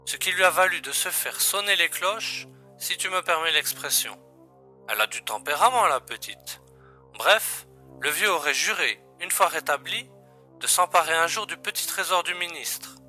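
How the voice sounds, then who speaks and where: A man's voice: a little high, animated, moderate pace, pushed and bright, soft background music, heavily acted. An actor playing an agent's wry, familiar and matter-of-fact male colleague in a science-fiction audio drama. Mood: gossipy.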